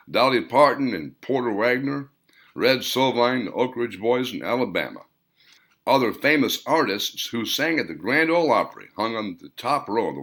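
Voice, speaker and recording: A man reading his own story aloud in a plain, unhurried narrating manner. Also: on the low side, a bit bright.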